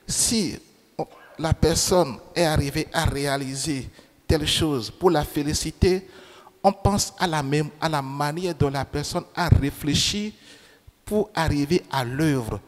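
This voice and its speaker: A man preaching a sermon in French, which is not his first language. He repeats key phrases and puts questions to the congregation. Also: on the high side. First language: another language than French. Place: church. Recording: church reverb. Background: long hall reverb.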